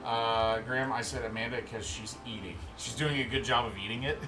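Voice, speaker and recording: A man in his thirties hosting a livestream in a relaxed, rambling way, chatting and reading out viewer comments. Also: mid-range voice, a little fast, music in the background. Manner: relaxed, rambling, answers chat as he goes. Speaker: livestream host. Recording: steady background noise.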